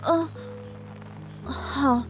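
An actress playing a flustered, eager young office employee with a crush in a romantic comedy radio drama, hesitant and full of little interjections. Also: female voice, high voice, extremely slow. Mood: shy, pleased. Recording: roomy reverb, music behind.

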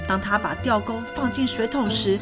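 A woman reading a children's story as narrator in an expressive, scripted storybook style. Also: medium pitch, moderate pace. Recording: music behind the voice.

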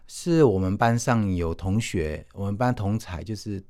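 A man's voice: lowish voice, normal speed, a little mellow. A man in his forties with a Taiwanese Mandarin accent, telling his own story casually as an interview guest, often repeating and rephrasing himself. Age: 40s. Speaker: interview guest, itinerant teacher.

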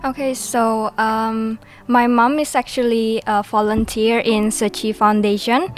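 A woman's voice: a little high, level tone, measured pace, soft background music. A young woman with an Indonesian accent, a student answering questions as a studio guest. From Jakarta, Indonesia. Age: young adult.

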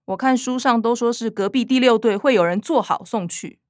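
A bookish young daughter, played by an actress with a Taiwanese Mandarin accent, explaining things matter-of-factly. Her voice sits fairly high. The recording is close and dry. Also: brisk, darkish tone, dry recording, close to an everyday voice. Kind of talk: radio drama acting.

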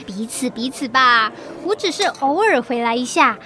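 High-voiced cheerful young woman. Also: normal speed. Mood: playful teasing. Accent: Taiwanese Mandarin. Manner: bubbly, expressive, teasing. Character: cheerful, affectionate young woman, a wandering travel photographer. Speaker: voice actor in an audio drama. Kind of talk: Mandarin radio drama acting. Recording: music bed under the voice.

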